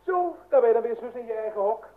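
An actor playing an eager, teasing young brother, chatty and bustling, in an old-fashioned radio play. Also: male voice, extremely high pitch, animated, fast talker. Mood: brisk, teasing. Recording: tinny, noisy old radio recording.

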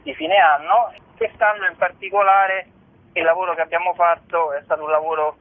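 A man's voice: high voice, fairly even intonation, moderate pace, no room sound. A man speaking as an interview guest on a radio show, explaining at length in a discursive way over a telephone. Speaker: musician and theatre author, interview guest. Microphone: phone call to a radio show. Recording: narrow telephone-band sound.

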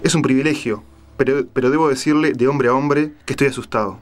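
A man's voice: mid-range voice, fairly even intonation, fast talker, soft background music, lightly acted. A man playing a scared captive journalist in an audio drama, hesitant and careful, trying to placate. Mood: anxious, placating. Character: frightened captive male journalist, placating and pleading.

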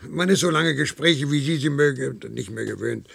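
Radio play role: very old worker, creaky husky voice, proud of duty, set in his ways, trips over words when pressed. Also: medium pitch, normal speed, a bit bright, heavily acted. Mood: flustered, apologetic. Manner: stammers and repeats words when agitated.

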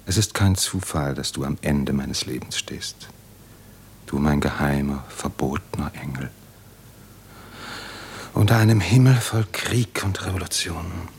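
Tender poetic lover, deep voice, very slow, hushed, solemn, radio play acting. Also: male voice, animated, creaky, slight background noise, exaggerated voice.

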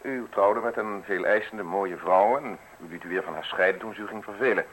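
An actor in a radio play playing a polite, insinuating state official with a formal, measured way of speaking. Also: male voice, lowish voice, fairly bright tone, husky. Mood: measured, accusing. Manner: formal, measured, courteous, deliberate phrasing. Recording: hissy, noisy old radio recording.

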